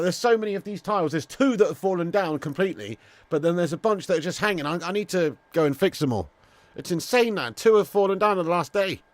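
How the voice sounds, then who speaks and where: A man's voice: high-pitched, no room sound. A young English man hosting a live football watch-along, chatting casually, swearing, and greeting viewers by name from a room at home. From England. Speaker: host.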